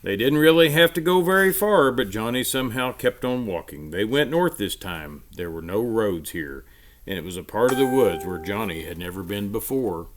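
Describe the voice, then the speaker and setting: A man reading a children's storybook aloud in an expressive, emphatic storytelling manner. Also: fairly low pitch, normal speed.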